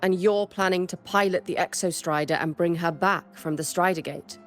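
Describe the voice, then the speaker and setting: A woman's voice: fairly low pitch, fairly even intonation, moderate pace, soft background music, close to an everyday voice. A woman playing a stern, dry, world-weary former teacher in a game, speaking in a composed, matter-of-fact way. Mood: skeptical. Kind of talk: scripted game dialogue.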